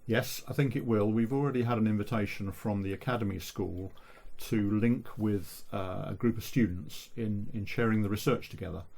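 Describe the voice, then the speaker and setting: A man speaking as an interview guest in a measured, thoughtful way. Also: on the low side, vocal fry, darkish tone. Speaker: interview guest, local history researcher. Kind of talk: reflective radio interview.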